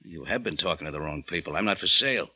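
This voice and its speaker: A man's voice: low voice, animated, fast, bright, forceful tone, breathy edge, full character voice. A man with an American accent playing a wry, hard-boiled cafe owner who narrates an old-time radio detective drama. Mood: tough. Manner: sardonic, tough, wisecracking. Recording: muffled old radio recording, music behind.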